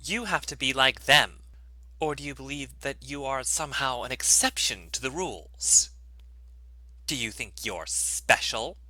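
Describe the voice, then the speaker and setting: A reader putting on a bright, menacing cult-leader pony. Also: mid-range voice, expressive, a little slow, no echo, heavily acted, low-quality recording. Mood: cold threat. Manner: lecturing, insinuating, with rhetorical questions. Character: menacing, sweetly controlling cult-leader mare. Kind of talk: dramatic fan fiction reading.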